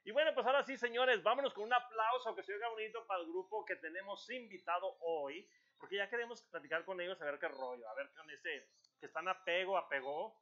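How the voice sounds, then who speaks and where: A man hosting a studio music show, talking high and bright with a lot of hype for the crowd. He jokes and chats easily with the band. The sound is dull and lo-fi. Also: a little fast. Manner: hypes up the audience, joking and chatty. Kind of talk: lively talk-show hosting. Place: TV studio set, indoors.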